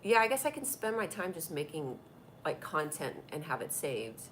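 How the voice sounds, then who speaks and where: Woman w a stuffy cold rambling on her live stream from a tablet, kinda low voice. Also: big pitch swings, moderate pace, fairly bright tone, a little hiss or hum. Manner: chatty and rambling, reacting to comments. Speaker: comedy show host doing a live stream. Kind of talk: casual live-stream chat reading viewer comments.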